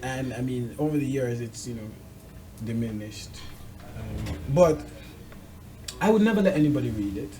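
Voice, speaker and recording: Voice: mid-range voice, animated, moderate pace, pauses often, warm tone, rough recording. An interviewer with a middle-range voice hosts a show. The delivery is casual and conversational, moving between questions to a guest and personal reflections.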